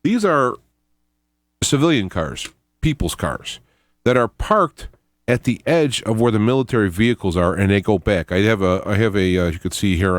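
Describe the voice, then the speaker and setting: A man talks through his own video report. The sound is very dry, with no room echo. His delivery is informal and rambling, halting as he rephrases himself. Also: low voice. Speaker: host of an independent radio show.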